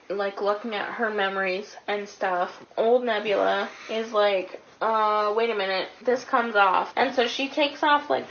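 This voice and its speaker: A woman co-hosting a movie review, retelling the story in casual, slangy, sweary chat. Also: medium pitch. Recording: dull lo-fi sound, clear background noise.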